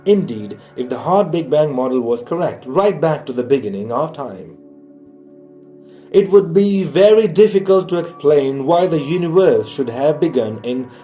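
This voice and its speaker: A man reading a science audiobook aloud in a measured, explanatory way. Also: a little high, mellow. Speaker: audiobook reader. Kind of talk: scripted narration, reading a science book aloud.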